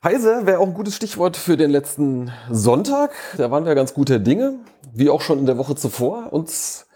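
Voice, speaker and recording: A man's voice: a little high, big pitch swings, normal speed, mellow, breathy edge. A man hosting a casual podcast about his football club, chatty and joking, often breaking off and restarting his sentences. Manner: chatty, self-interrupting, joking. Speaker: podcast host. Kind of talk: casual fan podcast chat. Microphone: remote call.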